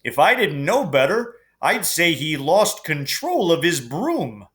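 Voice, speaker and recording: Guy doing a big gruff giant gamekeeper, rustic and folksy, worried, slow storybook voice. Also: a little high, very animated, a bit bright, exaggerated voice. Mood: puzzled. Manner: folksy, dropped words, double negatives.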